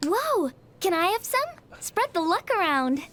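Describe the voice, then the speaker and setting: A woman voicing a bright, generous girlish adventurer in a video game. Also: very high-pitched, big pitch swings, a little slow, heavily acted. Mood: excited, cheerful.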